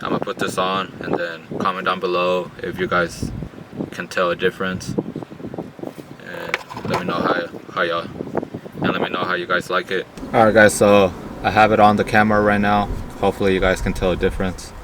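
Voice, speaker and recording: A young man with a deep voice in a casual vlog, talking plainly while working on a truck engine outdoors. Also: level tone, brisk, noisy background. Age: young adult. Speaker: car vlogger. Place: in a pickup cab and outdoors at an engine bay.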